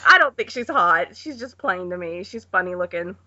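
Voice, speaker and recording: A woman's voice: lowish voice, animated, normal speed, background noise. A woman chatting casually about films as a podcast panelist, informal, offhand and blunt. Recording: dull lo-fi podcast audio.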